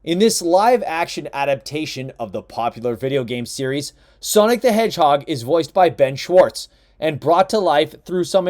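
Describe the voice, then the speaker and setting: A man with a fairly high voice reads a scripted countdown voice-over. His pitch swings widely, and he hits each numbered entry with emphatic, lively energy. The delivery is smooth and well rehearsed. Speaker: narrator of a countdown video.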